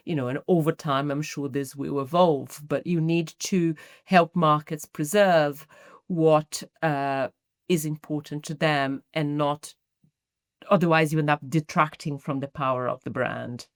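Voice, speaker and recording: A middle-aged woman from Brazil with a Brazilian Portuguese accent, answering podcast interview questions in a thoughtful, measured way with frequent restarts. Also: deep voice, dry recording, studio quality. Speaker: guest, marketing executive.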